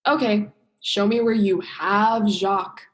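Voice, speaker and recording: A woman's voice: mid-range voice, steady tone, takes it slowly, close to an everyday voice. A woman drama student acting a bitter, sarcastic young female scientist in a radio play, sardonic and emphatic, stretching stressed words. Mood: exasperated, sardonic. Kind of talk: radio play monologue and dialogue.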